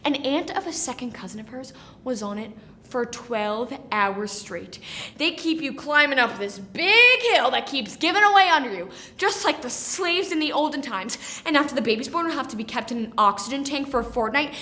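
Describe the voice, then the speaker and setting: Naive pregnant girl, woman actor: rambling, earnest theatre monologue, high bright voice. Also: expressive, normal speed. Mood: anxious chatter. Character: chattering, dreamy, pregnant young Irish bride-to-be.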